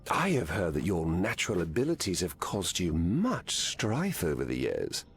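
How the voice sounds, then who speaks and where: An actor playing a grave, imposing tempter with rough, formal speech, in a video game. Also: male voice, low voice, wildly swooping pitch, normal speed, slight background noise, full character voice. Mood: solemn, tempting. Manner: formal, solemn. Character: grave, imposing male figure offering tempting bargains. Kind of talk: video game character dialogue.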